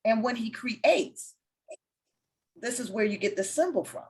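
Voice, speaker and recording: A woman's voice: on the high side, lively intonation, a little slow, darkish tone. A woman hosting an easygoing spiritual chat, with a confident, teacherly way of explaining things. She lays out each idea patiently and with authority, as if guiding a listener through a lesson. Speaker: host of a spiritual discussion. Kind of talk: casual spiritual conversation.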